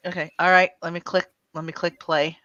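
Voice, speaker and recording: A flustered stream host chats casually and swears, in a middling-pitched voice. The sound is a dull, lo-fi voice call with no room echo. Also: level tone, a little fast, bright, forceful tone. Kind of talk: casual chat. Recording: dull, lo-fi voice chat.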